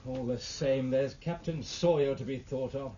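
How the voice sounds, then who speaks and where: An actor playing an anxious, testy British naval captain in a 1950s radio drama, speaking in a formal, challenging manner. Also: male voice, medium pitch, moderate pace, mellow, close to an everyday voice. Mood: worried, probing. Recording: muffled, noisy vintage radio recording.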